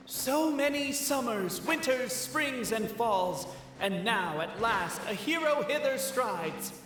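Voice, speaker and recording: High-voiced, lofty fantasy historian. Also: male voice, animated, slow, background noise, exaggerated voice. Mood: solemn, lofty. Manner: ceremonious, bard-like. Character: lofty, wry male clan historian in a fantasy realm. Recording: echoey hall reverb with music.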